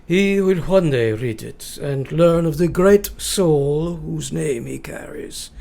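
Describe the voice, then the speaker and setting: An actor playing a grave older professor and vampire hunter in a radio play, with formal, old-fashioned speech. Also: male voice, a little high, slow pace, creaky, warm tone. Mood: fond, reflective. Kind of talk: radio drama acting.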